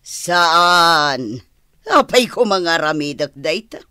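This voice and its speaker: An actress playing a defiant, scheming mistress of a crime boss in a radio drama, with a taunting, theatrical manner. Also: female voice, lowish voice, lively intonation, slow, bright, forceful tone, clean tone, no echo, clean studio sound, heavily acted. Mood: mocking. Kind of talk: Ilocano radio drama acting.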